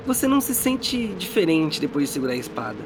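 A man's voice: on the high side, sing-song, quick pace, creaky, background noise. A Brazilian man narrating a tabletop game as game master in a casual, descriptive way, checking in with his players.

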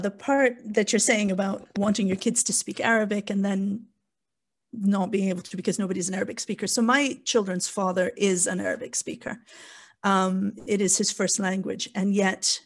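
A woman's voice: medium pitch, fairly even intonation, moderate pace. A frank woman talking in a panel discussion, rambling through restarts and asides. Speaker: panel guest. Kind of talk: candid conversational discussion.

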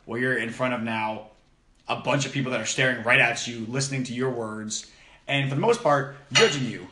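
A man co-hosting a casual podcast chat, outgoing and loud, teasing his friend as he talks. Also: mid-range voice, brisk. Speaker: podcast co-host. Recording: roomy echo.

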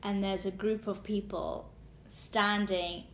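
A woman's voice: mid-range voice, level tone, takes it slowly. A woman, chatting casually as a podcast co-host, swearing freely and laughing often. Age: 20s. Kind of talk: casual chat among friends. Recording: roomy room with faint background noise.

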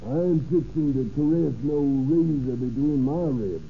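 An actor playing an evasive, wary beer garden proprietor with folksy dialect speech in a 1930s radio drama. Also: male voice, a little high, a little slow, creaky. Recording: tinny, hissy old radio recording.

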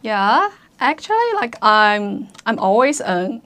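A young adult woman whose first language is Chinese, a podcast guest speaking English in a halting way, repeating words as she searches for them. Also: fairly high pitch, very animated, fairly bright tone. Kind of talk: casual interview chat.

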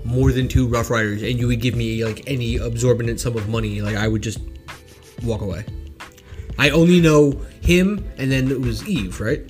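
A man's voice: medium pitch, moderate pace, darkish tone, faint music behind. A man in his late thirties co-hosting a podcast, laid-back and rambling with many fillers. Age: late 30s. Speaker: podcast co-host. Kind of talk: casual podcast chat.

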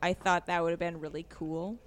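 A woman's voice: on the low side, little pitch movement, moderate pace, noisy background. A woman co-hosting a podcast, casually discussing a video game in an informal, hesitant way as she thinks aloud. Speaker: podcast co-host.

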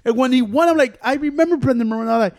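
A man chatting as a podcast co-host, fast and profane, switching between Spanish and English. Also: extremely high pitch, a little mellow, no room sound. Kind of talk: casual chat.

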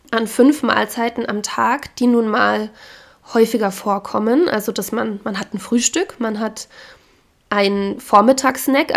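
A hoarse woman with a cold. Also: on the high side. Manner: frank, opinionated. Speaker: podcast host. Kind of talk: casual solo podcast talk.

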